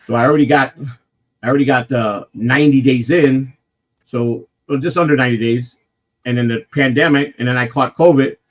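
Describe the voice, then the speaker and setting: A man with a naturally loud voice, hosting a casual morning live stream and rambling through a personal story with lots of swearing and restarts. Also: mid-range voice, a little fast. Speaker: morning show host telling a personal story.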